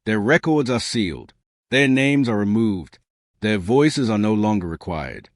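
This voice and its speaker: A man voicing a weary, dignified older alien bride in a formal, terse, measured way for an audio story. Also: lowish voice, expressive, dry recording, clean studio sound. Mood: weary, guarded.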